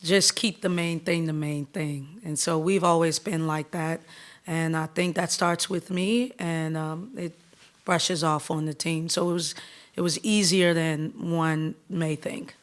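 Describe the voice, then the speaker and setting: A woman coach in her thirties from the Bahamas, answering press questions in an upbeat, candid way with quick restarts. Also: low voice, steady tone. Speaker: head basketball coach.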